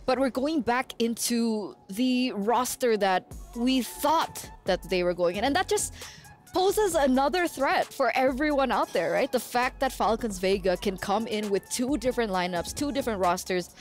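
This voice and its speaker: A woman runs an esports studio desk in a playful, teasing way. Her voice sits a little high. Faint music plays beneath her. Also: expressive, moderate pace.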